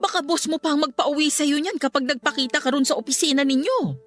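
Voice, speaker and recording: An actress playing an older grieving mother with a Filipino accent, gentle but firm as she keeps the peace in a Tagalog radio drama. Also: high-pitched, quick pace, close to an everyday voice. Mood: pleading. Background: soft music underneath.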